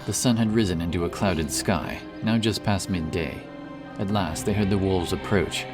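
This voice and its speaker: A man narrating a fantasy story in a measured, solemn, dramatic storytelling manner. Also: sits low, music in the background. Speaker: audiobook narrator.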